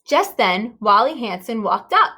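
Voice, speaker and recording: Animated, high-voiced woman reading a storybook. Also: unhurried, slightly rough. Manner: animated, engaging questions to listeners. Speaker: storybook reader. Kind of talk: expressive children's read-aloud with asides to listeners.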